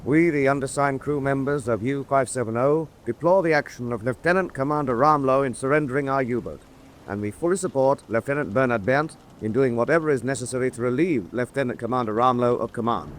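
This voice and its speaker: Man acting as dutiful submarine chief, medium-pitched, formal declarative delivery, radio play, slight noise. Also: fairly quick, natural voice. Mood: formal, resolute. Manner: formal, declarative, reading aloud. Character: formal, obedient U-boat chief petty officer.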